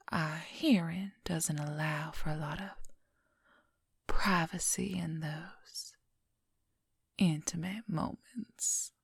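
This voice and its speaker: A woman voicing an affectionate, teasing werewolf lover in a soft, intimate ASMR whisper, speaking gently and playfully into a close microphone. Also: deep voice, vocal fry, slightly rough, dry recording, heavily acted. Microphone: close microphone, dry.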